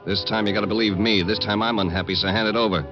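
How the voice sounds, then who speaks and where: An actor playing a menacing, tough-talking thug in a 1940s radio crime drama. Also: male voice, lowish voice, steady tone, quick pace, natural voice. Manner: tough street talk, threatening. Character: menacing male thug holding someone at gunpoint. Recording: muffled old radio, music behind.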